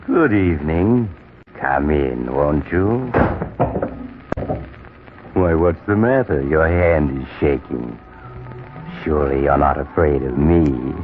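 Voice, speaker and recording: Super low creepy polite horror host, old radio show, muffled, organ-style music loud behind. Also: male voice, animated, slow, pauses often, heavily acted. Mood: ominous, courteous. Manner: theatrical, ominous, mock-polite. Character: sinister, courteous male storyteller host of eerie tales. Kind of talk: 1940s radio horror narration.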